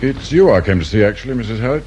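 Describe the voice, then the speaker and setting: An actor with a British accent playing a shrewd, dry, irritable detective inspector in a radio crime drama. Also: male voice, mid-range voice, lively intonation, very fast, darkish tone, slight background noise, close to an everyday voice. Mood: probing, measured. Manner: probing, brusque, sardonic.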